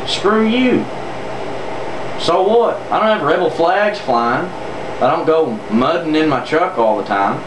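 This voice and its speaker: A man's voice: on the high side, expressive, measured pace. A man with a Southern US accent delivering an off-the-cuff political monologue in a sarcastic, emphatic way, repeating phrases for effect. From southern United States. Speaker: political vlogger. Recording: roomy, distant mic, noticeable air-conditioner-like noise.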